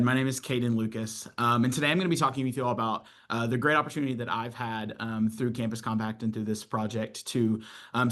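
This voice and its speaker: A man's voice: medium pitch, fairly bright tone. A young man from Kentucky, a student fellow giving an earnest presentation on a video call with frequent ums. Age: young adult. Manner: earnest, many filler ums. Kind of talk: online panel presentation.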